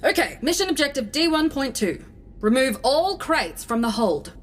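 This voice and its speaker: An actress playing a by-the-book, easily exasperated female starship captain, brisk and bossy, in a comedic sci-fi audio drama. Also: high-pitched, very animated.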